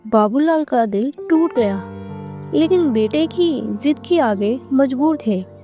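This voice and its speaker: A woman reads a scripted tale as narrator. Her voice sits fairly high yet has a very soft, mellow tone, and she takes her time over each line. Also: animated, takes it slowly, faint music behind. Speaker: story narrator.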